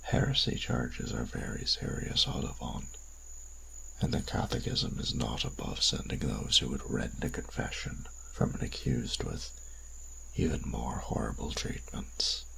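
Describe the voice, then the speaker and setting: Gravelly, bottomless-voiced stern vampire enforcer. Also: male voice, bright, forceful tone, slight background noise, heavily acted, rough recording. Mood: stern, ominous. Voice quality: very deep, gravelly, rasping. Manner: grave, measured, formal wording. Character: cold, stern male vampire church enforcer, old friend. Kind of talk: audio roleplay drama acting.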